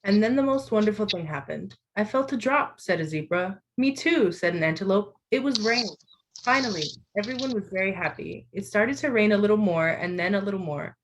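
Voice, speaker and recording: A woman volunteer reading a children's story aloud with expressive storytelling over a video call, lifting her voice for the animals' lines. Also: medium pitch, a little fast, background noise. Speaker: volunteer reader. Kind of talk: reading a picture book aloud.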